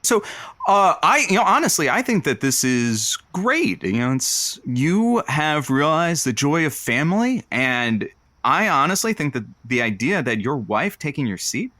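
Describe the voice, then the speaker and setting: An actor playing a radio producer filling in as host of a lonely-hearts show, wry and philosophical, a little hesitant in conversation. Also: male voice, fairly high pitch, very animated, measured pace, bright, forceful tone, no room sound, heavily acted. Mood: earnest, encouraging. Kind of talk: radio call-in monologue and banter.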